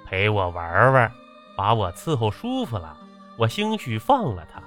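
A man's voice: lowish voice, big pitch swings, normal speed, faint music behind. A man voicing a short-tempered, lecherous battalion commander in an audiobook, swearing and barking his orders. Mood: leering, gloating. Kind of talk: audiobook character acting.

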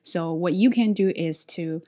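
A young woman with a Chinese accent tells personal stories in a relaxed, conversational way. Her voice sits slightly low for a woman. She often restarts sentences and leans on fillers. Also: a little slow. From China. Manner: frequent restarts and filler words, talking through experiences. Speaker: English teacher. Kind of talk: casual personal storytelling.

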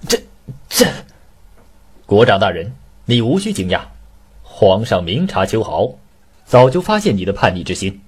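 A man's voice: on the low side, very animated, normal speed, pauses often, breathy edge. A man voicing a loyal, confident court commander, formal and scornful, in a declamatory Chinese costume radio drama. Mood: scornful triumph. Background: background music.

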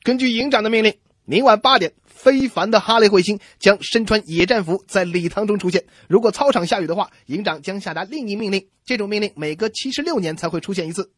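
A man's voice: high-pitched, fast, fairly bright tone, dry recording, clean studio sound, pitched above the performer's own voice. A man voicing an earnest, self-important army officer declaiming orders in a radio comedy sketch. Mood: earnest, declamatory.

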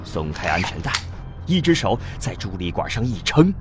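A man's voice: low voice, big pitch swings, normal speed. A man reading dramatic scripted narration for a science fiction radio drama, over music.

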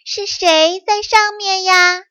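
A woman's voice: high-pitched, animated, unhurried, fairly bright tone, no room sound, pitched above the performer's own voice. A woman voicing a sly, scheming male fox in a children's story, coaxing and falsely casual. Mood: calling eagerly.

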